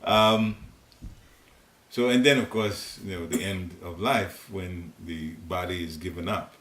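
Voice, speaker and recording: A man's voice: deep voice, slow pace, fairly bright tone. An older man from Ghana with a Ghanaian accent, leading a devotional class in a home and talking in a chatty, rambling way with chuckling asides. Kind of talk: informal spiritual teaching talk. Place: living room in a private home. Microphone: seated, room recorder at a distance. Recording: roomy home recording, faint hiss.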